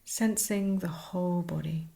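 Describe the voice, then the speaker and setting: A woman teaching a guided meditation, speaking soothingly in short, unhurried phrases with pauses. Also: on the low side, steady tone, vocal fry, dark tone.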